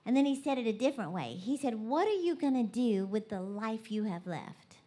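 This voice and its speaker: A woman's voice: fairly high pitch, expressive, brisk, warm tone. A woman with a Southern US accent giving a bubbly, folksy, conversational motivational talk to a live church gathering. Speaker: guest motivational preacher. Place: large indoor church event.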